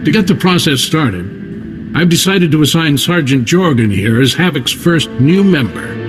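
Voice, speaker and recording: A man voicing an authoritative, weary military general in a video game, formal and measured. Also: fairly high pitch, animated, soft background music, close to an everyday voice.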